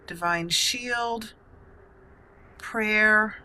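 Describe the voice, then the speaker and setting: Bright-voiced woman doing let's play commentary. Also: medium pitch, extremely slow, a little hiss or hum. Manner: thinks aloud, muses over choices. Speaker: gaming channel host and player.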